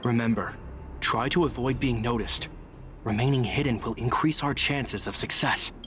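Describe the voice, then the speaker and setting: An actor playing a disciplined, courteous guard captain in a video game, speaking seriously and in good order. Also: male voice, mid-range voice, moderate pace, a bit bright, slight background noise, close to an everyday voice. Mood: earnest. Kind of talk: scripted video game dialogue.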